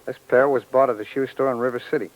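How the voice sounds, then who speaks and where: An actor playing a methodical lawman, brisk and matter-of-fact, in 1950s radio police drama style. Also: medium pitch, steady tone, dull audio, slight background noise, close to an everyday voice. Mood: intrigued. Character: methodical, businesslike male lawman on a case.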